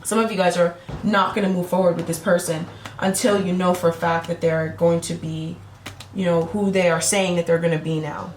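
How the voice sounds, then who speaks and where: A woman's voice: lowish voice, flat delivery, moderate pace, a little hiss or hum. Tarot reader losing her voice, echoey room. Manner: rambling, repeats "right?" and "you know?" to the viewer. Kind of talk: casual spiritual tarot reading to camera. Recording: echoey room with faint ambience.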